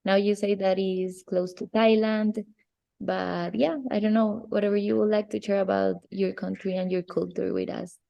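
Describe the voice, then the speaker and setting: A woman's voice: medium pitch, level tone, normal speed, gravelly, mellow, lo-fi sound. A woman interviewing a student, asking friendly, curious questions.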